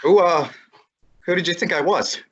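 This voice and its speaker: A young man voices a wisecracking detective's assistant who talks in a breezy, wry, matter-of-fact way. His voice sits slightly high. The recording sounds a little dull and lo-fi. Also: normal speed, fairly bright tone, husky. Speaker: student actor. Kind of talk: student radio drama acting.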